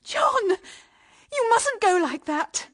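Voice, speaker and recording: An actress playing a pleading, proud young British wife in a period radio drama, speaking with emotional, halting delivery. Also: female voice, very high-pitched, very animated, a bit bright, full character voice. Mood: desperate. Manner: emotional, halting, stammering under strain.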